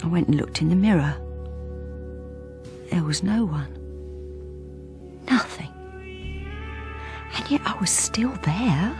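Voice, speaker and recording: An actress playing a troubled middle-aged British wife who speaks hesitantly and confidingly in a radio drama. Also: female voice, lowish voice, animated, takes it slowly, long pauses, music in the background. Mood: frightened. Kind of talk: intimate first-person radio drama narration and dialogue.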